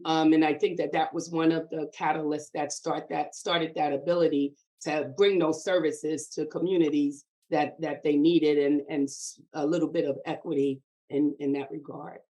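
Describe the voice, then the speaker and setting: A middle-aged woman with a fairly low voice talks as a podcast guest. Her speech is measured and reflective, and it is dotted with pauses and filler words. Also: little pitch movement, warm tone, low-quality recording. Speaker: podcast guest, community development leader. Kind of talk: reflective interview talk.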